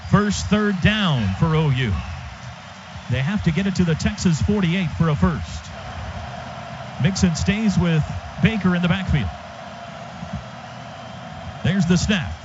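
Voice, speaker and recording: A high-voiced man does live radio play-by-play of a football game. He calls it in short, urgent bursts. The feed is dull, with clear stadium noise behind him. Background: stadium ambience.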